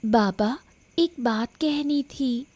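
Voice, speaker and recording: A woman's voice: fairly high pitch, extremely slow, mellow, no echo. A woman voicing a stubborn village schoolgirl in a cartoon story, pleading plaintively. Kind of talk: Hindi cartoon story voice acting.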